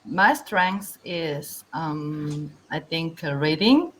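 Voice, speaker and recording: A woman in her thirties from South Korea with a Korean accent, an English learner talking hesitantly on a video call with many pauses and fillers. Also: deep voice, expressive, slight background noise. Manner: hesitant, halting with many ums. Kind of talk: casual online lesson chat.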